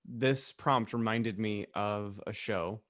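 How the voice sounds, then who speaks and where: A man's voice: lowish voice, takes it slowly, fairly bright tone, dry recording. Man chatting casually, dry recording. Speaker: podcast co-host. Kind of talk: casual joking chat.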